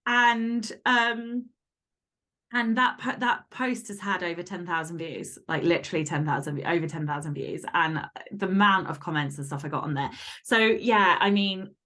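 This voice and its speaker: A woman's voice: fairly low pitch, normal speed, bright, forceful tone. A woman chatting casually as an interview guest, candid and blunt, swearing freely. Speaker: podcast guest and fantasy romance author.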